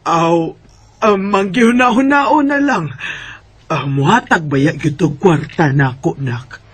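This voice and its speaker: A man's voice: high-pitched, lively intonation, unhurried, fairly bright tone, breathy edge, full character voice. A man with a Cebuano Filipino accent playing a nagging, coaxing middle-aged mother in an amateur radio drama.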